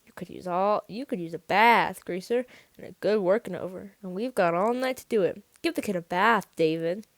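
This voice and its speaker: A woman's voice: medium pitch, moderate pace, dry recording, natural voice. A woman voicing a menacing, sneering teenage male gang leader in a story reading, with taunting emphasis. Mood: sneering, threatening. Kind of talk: audiobook-style character dialogue.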